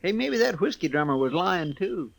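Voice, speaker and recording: An actor playing an earnest, flustered deputy sidekick, hesitant and deferential, with a rural American accent, in an old radio western. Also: male voice, high voice, big pitch swings, moderate pace, creaky, a bit bright, full character voice. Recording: vintage 1950s radio recording.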